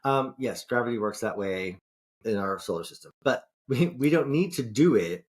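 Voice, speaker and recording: A man's voice: mid-range voice, lively intonation, normal speed. Rambling, profane male podcast host. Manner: rambling, profane, frequent restarts. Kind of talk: casual podcast chat.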